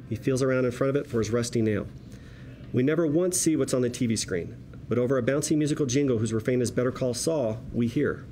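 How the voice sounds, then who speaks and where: A man reading stage directions at a table read as narrator, in a wry, deliberate storytelling manner. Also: medium pitch, warm tone, slight background noise.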